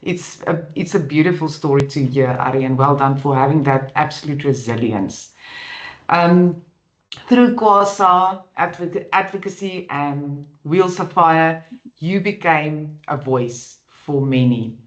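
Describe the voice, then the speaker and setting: An interviewer asking questions in a warm, encouraging manner. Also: mid-range voice, measured pace. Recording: echoey, lo-fi room sound.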